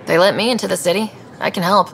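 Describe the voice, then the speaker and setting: A woman playing a confident, helpful young space explorer in a video game, casual and assured with a creaky voice. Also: mid-range voice, fairly even intonation, fast talker, a bit bright. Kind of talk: video game dialogue acting.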